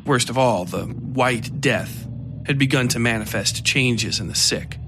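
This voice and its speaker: Casting: male narrator for dark fiction, mid-pitched, measured and literary, with slight ambient noise underneath. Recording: faint ambient background noise.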